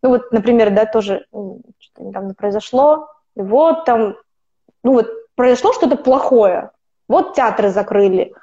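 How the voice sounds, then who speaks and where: A woman's voice: a little high, animated, moderate pace, mellow. A woman in her twenties, a podcast guest talking casually and rambling, with frequent restarts and self-corrections. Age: 20s. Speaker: podcast guest, opera student. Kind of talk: casual conversational interview. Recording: dull lo-fi recording.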